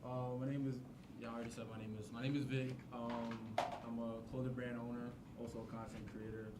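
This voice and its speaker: Young man, from Queens, Yoruba first language, quick casual talk, many fillers, noisy background. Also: medium pitch, far from the mic. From Queens, New York. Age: 20s. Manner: relaxed, many fillers like "like" and "you know". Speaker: guest, clothing brand owner. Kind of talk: casual interview. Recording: noisy student TV studio.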